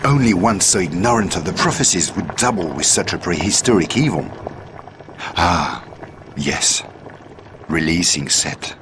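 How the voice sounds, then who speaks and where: A man's voice: deep voice, expressive, a little slow. A man playing a grave, learned antiquities expert in a video game cutscene, solemn and ominous, with slightly noisy audio.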